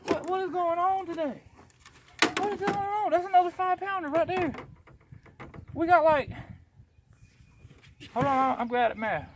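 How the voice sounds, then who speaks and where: An excitable man with a Southern US accent who repeats himself, chatting casually on a fishing vlog from a small open boat. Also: very high-pitched. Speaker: fishing vlogger. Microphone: action camera, worn or mounted nearby. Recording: outdoor action camera with background noise.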